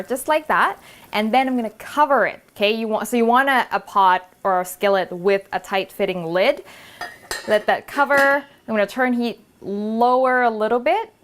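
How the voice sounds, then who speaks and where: A woman hosting a cooking video, chatting casually and encouragingly as she cooks at the stove in a kitchen. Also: a little high. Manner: chatty, encouraging, thinking aloud while cooking. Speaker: cooking show host. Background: food sizzling in a pan.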